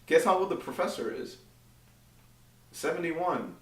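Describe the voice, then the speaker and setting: A man's voice: fairly high pitch, lively intonation, unhurried. A young man co-hosting a casual podcast, reading an article aloud and joking with frequent laughs. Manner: joking, laughs often, mock-reads quotes. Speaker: podcast co-host. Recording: echoey room, distant mic.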